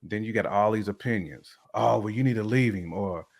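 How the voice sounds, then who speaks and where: Casual, slightly deep-voiced man. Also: normal speed, creaky. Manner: informal, slangy, emphatic with acted-out quotes. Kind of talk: casual chat, opinionated commentary.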